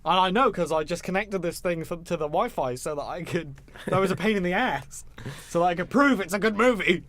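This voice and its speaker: A man with a slightly high-pitched voice co-hosts a casual film discussion. He speaks as an emphatic, opinionated fan and swears freely. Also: animated, brisk, a bit bright. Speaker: podcast co-host.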